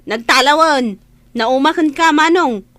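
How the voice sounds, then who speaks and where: A woman plays an exasperated younger sister in a radio drama. Her very high, dry-recorded voice is blunt and reproachful, with an Ilocano accent. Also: measured pace, fairly bright tone, no echo.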